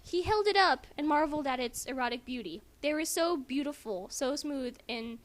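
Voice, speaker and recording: A woman's voice: high voice, animated, normal speed. A squeamish woman reading aloud casually for a comic dramatic reading, breaking off to comment and sounding grossed out. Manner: squeamish, self-interrupting, grossed out. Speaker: amateur reader in a comedic dramatic reading.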